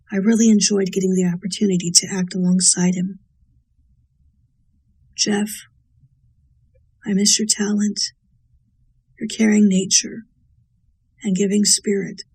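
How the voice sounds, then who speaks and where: Woman, husky voice, flat intonation, sincere measured tribute reading. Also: mid-range voice, poor audio. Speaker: voice actor paying tribute. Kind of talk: prepared spoken tribute.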